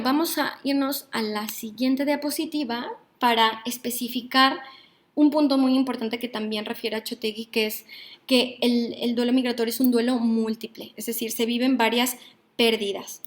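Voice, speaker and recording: A Mexican woman with a Mexican Spanish accent, a psychologist teaching an online workshop. She speaks thoughtfully and keeps checking in with her listeners, pausing and hesitating as she goes. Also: on the high side, fairly even intonation. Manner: thoughtful, frequent "¿no?" tags and hesitations.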